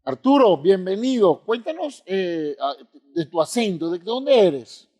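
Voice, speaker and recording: A man's voice: high-pitched, very animated, measured pace, darkish tone. A man co-hosting a program and putting questions to a guest in an interview. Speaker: program co-host.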